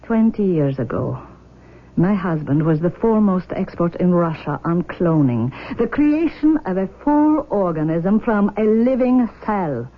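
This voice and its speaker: Radio drama: obsessive, proud Russian mother, about forty. Low-leaning voice, grand emphatic delivery, dulled vintage recording. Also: big pitch swings, narrow, tinny audio. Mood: fervent, intense. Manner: dramatic, emphatic, deliberate declarations. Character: intense, imperious forty-year-old Russian mother, obsessed with her cloned daughter. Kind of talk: 1970s radio drama acting.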